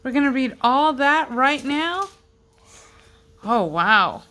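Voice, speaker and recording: A woman's voice: sits high, very animated, slow, bright, forceful tone. A woman chatting casually at home as she gets a family reading started. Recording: faint background noise.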